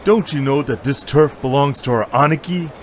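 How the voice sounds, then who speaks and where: A man's voice: mid-range voice, normal speed, slight background noise, lightly acted. A man voicing a cocky, hot-tempered young street thug in an amateur audio drama, aggressive and swaggering. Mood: angry, threatening.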